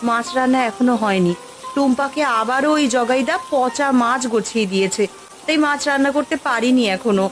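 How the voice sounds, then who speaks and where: A woman's voice: high voice, a little fast, soft background music, natural voice. A woman voicing a caring, inquisitive village housewife and mother in a cartoon. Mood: concerned. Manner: inquisitive, homely. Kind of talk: cartoon voice acting.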